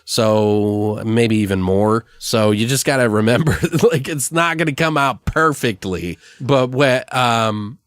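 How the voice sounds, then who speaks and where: A man hosting a podcast, chatting casually and brainstorming in a rambling, animated storytelling way with plenty of swearing. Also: medium pitch, unhurried, hardly any pauses, clean tone, no echo, clean studio sound. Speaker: podcast host. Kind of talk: casual improvised brainstorming chat.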